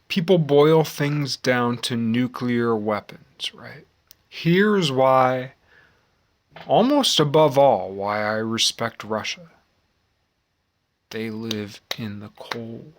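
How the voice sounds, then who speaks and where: Slow-talking middle-aged American man. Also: mid-range voice. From United States. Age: 40s. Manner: halting, musing, repeats phrases with long pauses. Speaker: vlogger. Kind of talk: rambling reflective monologue.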